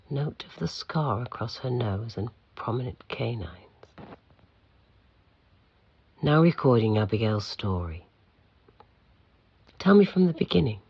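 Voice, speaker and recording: Man acting as a gravelly, pompous institute keeper. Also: lowish voice, dry recording, highs cut off, heavily acted. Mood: clinical, probing. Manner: formal, measured, curt. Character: aloof, pompous male keeper of an institute for monsters. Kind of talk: audio drama acting.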